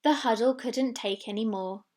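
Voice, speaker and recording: A woman's voice: a little high, moderate pace, a little mellow. A woman reading a children's storybook expressively, with dramatic delivery of sound words. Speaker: story reader.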